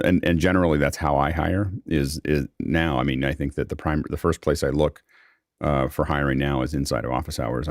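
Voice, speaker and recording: A man's voice: very deep voice, no room sound, studio quality. A man hosting a casual panel discussion, giving rambling, anecdotal explanations as moderator. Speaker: host and moderator.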